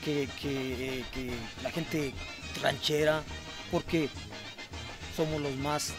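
A man from Jalisco, Mexico, a singer answering interview questions outdoors in a hesitant, halting way. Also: on the high side, fairly even intonation, music in the background. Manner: hesitant, halting, many restarts. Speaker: singer guest. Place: outdoor fairground patio.

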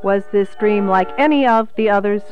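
A woman's voice: medium pitch, moderate pace, lo-fi sound, soft background music, natural voice. A woman acting in a radio play as a formal, insistent saleswoman and interrogator with stilted phrasing. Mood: probing. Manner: formal, stilted, avoiding contractions.

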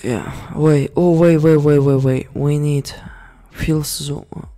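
A man giving casual commentary over a management game, excitable, swearing and yelling orders at his units. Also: on the high side, slow. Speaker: gaming channel host.

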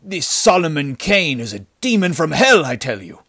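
A man's voice: fairly high pitch, very animated, moderate pace, fairly bright tone, husky, no room sound, studio quality, voice pushed up, full character voice. A man voicing a sullen, grim and exasperated bandit henchman in a storybook, speaking emphatically. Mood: sullen alarm.

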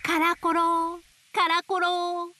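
A woman reading picture books aloud to babies in a gentle, sing-song way, dwelling on playful sound words. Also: very high voice, monotone, slow, slight background noise. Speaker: storybook narrator voicing an owl. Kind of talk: children's picture book read-aloud.

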